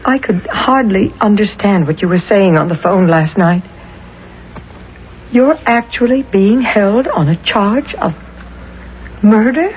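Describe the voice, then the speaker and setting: An expressive, anxious American wife. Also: female voice, medium pitch, slow pace, pauses often. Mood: anxious, shocked. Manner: earnest, tender, hesitant. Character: anxious, devoted wife tenderly soothing her accused husband. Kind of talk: old-time radio drama acting. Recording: muffled, noisy 1970s radio broadcast.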